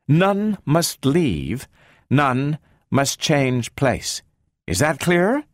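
A man's voice: medium pitch, very animated, moderate pace, no room sound, clean studio sound, close to an everyday voice. A man voicing a commanding, exacting woman scientist in an audiobook, with clipped, precise delivery. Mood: cold, commanding. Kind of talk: dramatic audiobook character reading.

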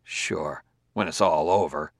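A man's voice: extremely low pitch, slow, dry recording, clean studio sound, pitched below the performer's own voice, heavily acted. A man voicing the inward thoughts of a troubled, self-doubting male detective, in an audiobook reading.

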